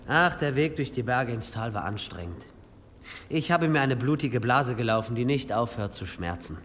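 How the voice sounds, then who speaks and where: A man's voice: medium pitch, animated, moderate pace, a bit bright, big-room sound, slight background noise, lightly acted. A man playing a polite, deferential, pious pilgrim in a radio drama, speaking formally with humble eagerness. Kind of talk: German radio drama acting.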